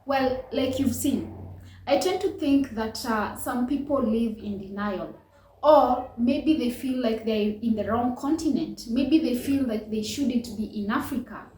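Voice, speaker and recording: A woman's voice: a little high, unhurried, mellow, slight background noise. Earnest woman in an echoey room. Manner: earnest, reflective, many fillers and restarts. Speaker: reaction channel host. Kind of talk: casual reaction commentary.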